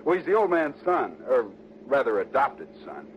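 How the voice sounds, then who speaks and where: A man's voice: medium pitch, sing-song, moderate pace. An actor playing a chatty, fair-minded American insurance salesman who hedges and hesitates, in early sixties radio drama style. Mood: explanatory. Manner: hesitant, hedging, colloquial. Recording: muffled, noisy vintage radio recording.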